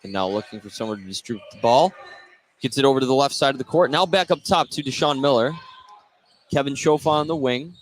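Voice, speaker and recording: A man doing live play-by-play basketball commentary on the radio. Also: mid-range voice. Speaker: play-by-play announcer. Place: indoor school basketball gym. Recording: noisy courtside gym broadcast. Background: clear gym noise.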